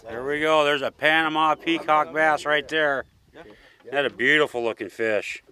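A man fishing from a small boat outdoors, casually explaining his rig to the camera with excitement. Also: fairly high pitch, normal speed. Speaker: angler on a boat.

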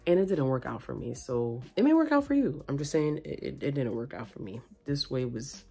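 A woman's voice: rumbling low, big pitch swings, brisk, gravelly, mellow, soft background music. A young woman vlogging from home into a phone on a tripod, chatty and rambling, tired late at night. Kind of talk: casual late-night vlog chat. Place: small room at home, indoors.

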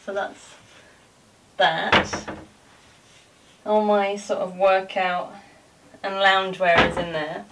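A woman's voice: mid-range voice, flat delivery, slow, long pauses. Woman in her forties, distant noisy recording. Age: 40s. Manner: informal, rambling asides while moving about showing things. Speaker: vlogger giving a room tour. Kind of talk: casual chatty vlog talk. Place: indoors, small home dressing room. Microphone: camera a few feet away. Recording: distant camera mic, background noise and clicks.

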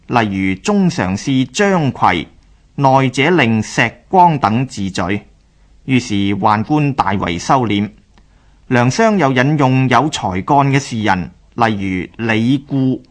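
A man with a Hong Kong Cantonese accent narrates a scripted historical radio drama in a measured, formal storyteller's manner. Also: medium pitch.

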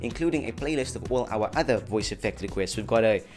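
A man's voice: lowish voice, expressive, quick pace, darkish tone. Young man hosting a casual tutorial, music behind. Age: young adult. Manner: jokey, chummy address to viewers. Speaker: tutorial host. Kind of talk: scripted, casual video tutorial. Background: background music.

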